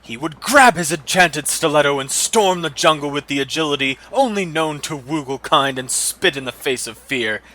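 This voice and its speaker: A man's voice: fairly high pitch, animated, moderate pace, few pauses, pushed and bright, a little hiss or hum. A man reading a scripted fantasy adventure story as a dramatic narrator.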